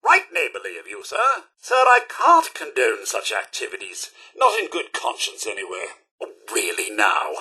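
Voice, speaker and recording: An actor playing a prim, cheery, sardonic British robot butler in a video game, with a fussy, over-polite manner and dry sarcasm. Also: male voice, lowish voice, wildly swooping pitch, normal speed, sharp tone, slightly rough, full character voice. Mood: prim disapproval. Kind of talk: video game companion voice lines.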